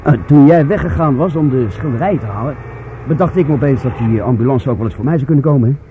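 A man's voice: mid-range voice, expressive, fast talker, close to an everyday voice. An actor playing a casual male sidekick in a radio drama, explaining himself chattily with small hesitations and tag questions. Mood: chatty, sheepish. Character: casual male sidekick who came to the rescue. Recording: noisy, phone-like sound in car scene.

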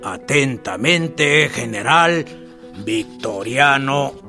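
An actor playing a haughty, scheming Mexican army general in a radio drama, with a creaky voice and a curt, authoritative, drawn-out delivery. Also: male voice, medium pitch, lively intonation, sharp tone, exaggerated voice. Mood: formal, calculating. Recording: music behind the voice.

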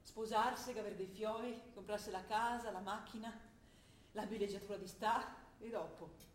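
A woman's voice: medium pitch, fairly even intonation, close to an everyday voice. An actress playing a young idealistic revolutionary student who argues with her father. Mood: earnest. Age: young adult. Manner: earnest, quick, rising to fervent argument. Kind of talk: stage play acting. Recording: roomy stage recording with faint noise.

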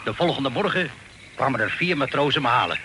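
An actor playing a bluff, hearty Dutch sea captain in a radio play, with a jovial, salty, colloquial way of talking. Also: male voice, medium pitch, expressive, fairly quick, close to an everyday voice. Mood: jovial storytelling. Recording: noisy old radio play recording.

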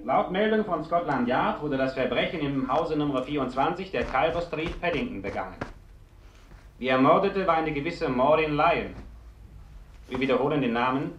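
A man's voice: a little high, lively intonation, lo-fi sound, a little hiss or hum, lightly acted. A man playing a formal news announcer who gives urgent official warnings, in a 1950s radio play. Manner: emphatic, measured official announcing. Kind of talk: 1950s radio bulletin acting. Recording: echoey vintage radio recording.

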